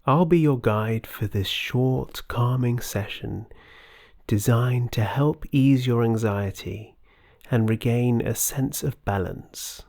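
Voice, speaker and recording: A softly spoken meditation guide giving scripted instructions in a soothing, gentle, breathy voice that often drops close to a whisper. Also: mid-range voice, takes it slowly.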